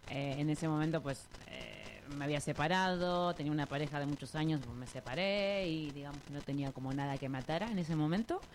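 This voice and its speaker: Female interview guest, Argentine accent, low register, informal hesitant storytelling, slight background noise. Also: animated, brisk, hardly any pauses. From Buenos Aires province, Argentina. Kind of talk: casual conversational storytelling.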